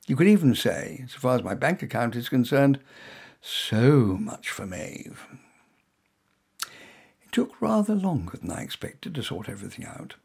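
A man's voice: vocal fry, husky. A man from England reading his own first-person short story in a dry, wry, conversational way. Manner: dry, wry, conversational delivery with lively pitch.

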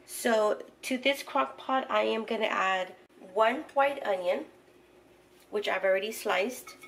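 A woman's voice: medium pitch, vocal fry, a bit bright, slight background noise. A middle-aged woman hosting a home cooking channel, casually narrating each recipe step with the odd "um" as she cooks in her kitchen. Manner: instructional, walks through each step with fillers.

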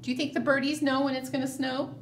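A middle-aged woman leading a preschool storytime, animated and sing-song, coaxing small children with questions. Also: high voice, fast. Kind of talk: storytime read-aloud and chat addressed to small children. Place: small indoor room, seated at a table. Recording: roomy, distant mic, faint room noise.

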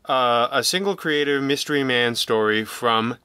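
A man being interviewed casually, speaking in a halting, digressive way and often interrupting himself. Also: medium pitch, bright, forceful tone, clean tone, no echo, studio quality. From Canada. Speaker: podcast guest, audio drama writer and producer.

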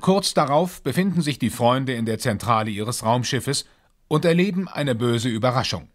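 A man narrating a scripted space adventure audio drama as a measured storyteller. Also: medium pitch, animated, faint music behind, natural voice.

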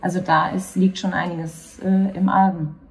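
A woman's voice: on the low side, flat delivery, normal speed. A woman interviewing guests informally on location, in conversational speech. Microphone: handheld interview microphone.

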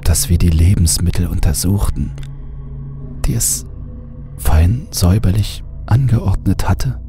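A man narrating a horror audiobook in a hushed voice that often drops to a half-whisper. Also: deep voice, animated, unhurried. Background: music bed.

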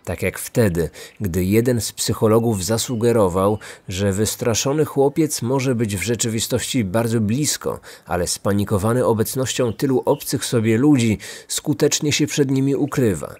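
Low-voiced male true-crime narrator. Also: moderate pace, few pauses, darkish tone. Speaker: podcast host, narrator. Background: faint music.

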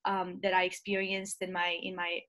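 A woman's voice: on the low side, monotone, moderate pace, bright, forceful tone. A young woman from Romania in her early twenties, speaking in an interview over a video call with earbuds, thoughtful and full of ums and restarts. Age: young adult. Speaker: interview guest, student leader. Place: bright room at home.

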